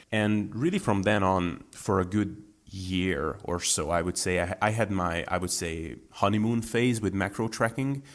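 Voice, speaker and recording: Deep-voiced man chatting as a guest. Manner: relaxed, chatty, with fillers and restarts. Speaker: podcast guest. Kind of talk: casual interview talk.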